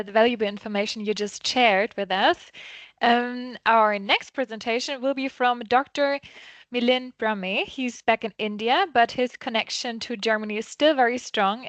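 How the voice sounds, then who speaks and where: A woman's voice: fairly high pitch, a bit bright, no echo. A woman moderating an online event, introducing speakers in a polite, formal way.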